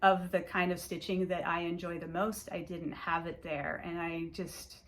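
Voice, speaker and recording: A woman's voice: on the low side, little pitch movement, moderate pace, a little hiss or hum. A woman whose first language is Hungarian, casually showing her stitching projects in a rambling chat full of fillers and restarts. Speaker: cross-stitch vlogger. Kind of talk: casual show-and-tell chat.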